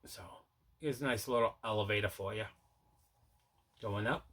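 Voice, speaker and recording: A man's voice: lowish voice, normal speed, background noise. A man hosting a casual unboxing vlog, chatting enthusiastically and often repeating himself. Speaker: host of a collecting video show.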